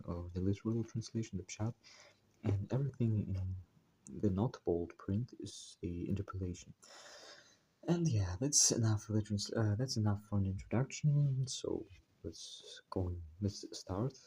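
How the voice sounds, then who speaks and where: Male channel host, deep dark tone, hesitant delivery with many restarts, working at a put-on American accent. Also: breathy edge. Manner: hesitant, full of fillers and self-corrections; deliberately putting on an American accent. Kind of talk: casual spoken introduction to a text study.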